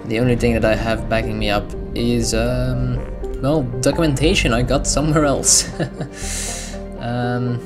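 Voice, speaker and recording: Man, Dutch native speaker, casual gameplay talk, unhurried, hesitant, chuckles, music in the background. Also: mid-range voice, steady tone. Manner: hesitant, lots of ums, soft chuckles. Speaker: gamer. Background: video game music.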